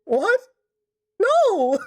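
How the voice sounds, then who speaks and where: A woman's voice: very high-pitched, wildly swooping pitch, drawn-out words, a little mellow. A woman streamer improvising fan-dub commentary over a game, sarcastic and rambling.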